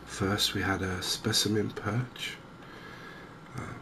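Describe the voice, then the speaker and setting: Softly spoken low-voiced man, unhurried. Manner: gentle, unhurried, musing. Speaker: gaming video host. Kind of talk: softly spoken cozy ASMR gaming commentary. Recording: clear steady ambient background noise.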